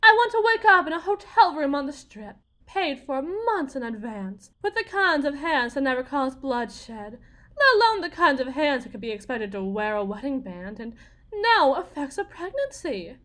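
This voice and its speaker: A woman's voice: high voice, sing-song, normal speed, pushed and bright, heavily acted. A woman playing a bitter, desperate young Southern US wife, confiding in a folksy, theatrical way in an audio roleplay monologue. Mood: pleading, wistful.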